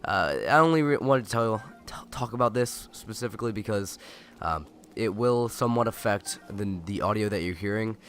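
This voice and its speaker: A young man with a cold gives loose gameplay commentary, his stuffed nose and raspy throat coloring every line. He talks in a somewhat low voice, wandering into asides and correcting himself mid-sentence. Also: moderate pace, fairly bright tone, slight background noise. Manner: rambling, self-correcting, chatty asides. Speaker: let's play gamer.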